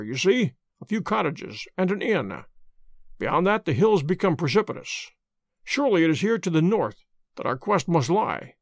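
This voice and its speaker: A man voicing a methodical middle-aged male detective in an audiobook, laying out his reasoning in a measured, deliberate way. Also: high voice, vocal fry, no echo, clean studio sound, full character voice.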